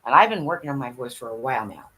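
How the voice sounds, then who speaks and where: A woman's voice: a bass voice, animated, rapid-fire, bright, forceful tone, a little hiss or hum. A woman talking straight to her viewers in a scattered, blunt livestream monologue, swearing and laughing as she goes. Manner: addresses the audience directly, asks for agreement. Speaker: livestream host.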